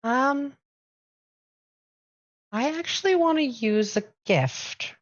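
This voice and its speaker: A woman's voice: sits high, lively intonation, slow pace, highs cut off. A woman playing a tabletop game, plainly explaining her character's abilities and rules. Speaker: player.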